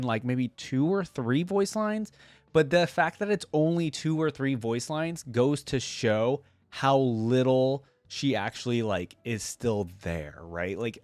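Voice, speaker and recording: A man's voice: mid-range voice, dry recording. A man hosting a reaction video, giving casual, rambling off-the-cuff commentary to viewers with lots of "like". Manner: rambling, conversational, filler-heavy with "like".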